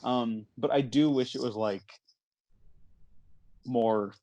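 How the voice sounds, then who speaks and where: A man's voice: medium pitch, slow pace, warm tone, no echo, lo-fi sound. A man hosting a casual movie discussion podcast, talking in a conversational way. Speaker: podcast host.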